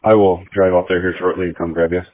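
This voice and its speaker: A man's voice: low voice, little pitch movement, quick pace, a little hiss or hum. A male police commander giving informal instructions over a tinny police radio.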